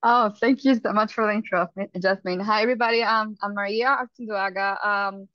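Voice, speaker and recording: A woman in her thirties with a Colombian accent, a startup founder telling her story on a webinar panel, earnest and quick, with restarts. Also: medium pitch, steady tone, dry recording. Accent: Colombian Spanish. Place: home office, indoors. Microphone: headset. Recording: dry, lo-fi video call audio.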